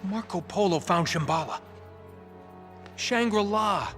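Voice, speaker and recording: Wisecracking adventurer over music. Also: male voice, sits high, expressive, takes it slowly, a bit bright, full character voice. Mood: awed excitement. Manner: casual, sarcastic banter. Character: wisecracking, improvising adventurer and treasure hunter, adult man. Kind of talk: cinematic video game acting. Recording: game cutscene with background music.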